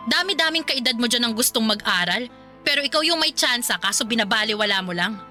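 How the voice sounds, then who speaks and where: A woman's voice: on the high side, animated, fast talker, sharp tone, faint music behind. A woman playing a stern, sarcastic older sister who scolds her younger brother in a radio drama. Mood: scolding. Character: stern older sister Terry, sharp-tongued.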